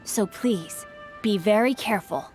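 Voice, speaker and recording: A woman's voice: mid-range voice, measured pace, lightly acted. A woman voicing a polite, bookish young heir of a merchant family in an anime-style video game, speaking formally and articulately. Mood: thoughtful, helpful. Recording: faint music behind the voice.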